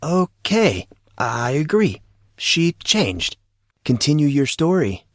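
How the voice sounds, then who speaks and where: A man's voice: a little high, very animated, takes it slowly, dry recording, clean studio sound, voice pushed up. A man playing an awkward fourteen-year-old schoolboy who talks in flippant wisecracks, in an audio drama. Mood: conceding.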